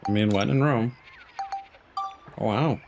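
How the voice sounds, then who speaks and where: A man's voice: medium pitch, takes it slowly, over music. A man streaming a game, talking in a casual, conversational way. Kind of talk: casual gameplay chat.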